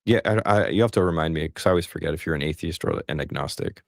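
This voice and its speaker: A man's voice: rumbling low, fast, vocal fry, no echo, studio quality. A man hosting a live call-in stream, chatting casually and joking about himself. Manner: chatty, self-deprecating, joking. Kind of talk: casual chat.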